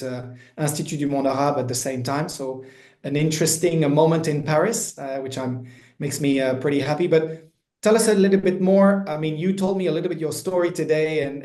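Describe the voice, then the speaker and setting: A male webinar host with a mellow, slightly high voice. He speaks hesitantly with many restarts. His voice echoes as if he is in a bare room. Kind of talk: moderating a webinar.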